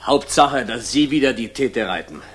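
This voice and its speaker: An actor in a 1960s German radio drama playing a brisk, loyal military aide. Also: male voice, medium pitch, animated, fairly bright tone, breathy edge.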